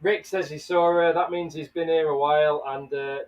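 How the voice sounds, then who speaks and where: A man's voice: fairly high pitch, steady tone, normal speed, recorded from a distance, dull audio. A man hosting a casual livestream, chatting and greeting viewers by name. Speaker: livestream host. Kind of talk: casual live chat.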